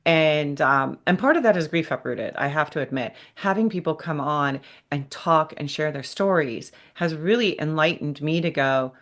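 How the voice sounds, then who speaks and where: A woman's voice: low voice, animated, normal speed, hardly any pauses, a bit bright. A woman in her fifties hosting a podcast interview, speaking candidly in a chatty, self-deprecating way. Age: 50s. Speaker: podcast host. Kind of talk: conversational interview.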